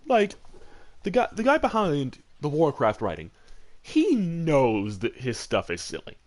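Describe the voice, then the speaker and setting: Animated man rambling about his opinions. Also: on the high side, measured pace. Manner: rambling, emphatic, repeats phrases for stress. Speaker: host of a gaming discussion show. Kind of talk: casual chat, opinionated commentary.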